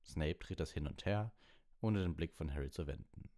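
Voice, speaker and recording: A man's voice: extremely low pitch, moderate pace, warm tone. A man reading a children's fantasy novel aloud as an audiobook storyteller. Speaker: audiobook reader.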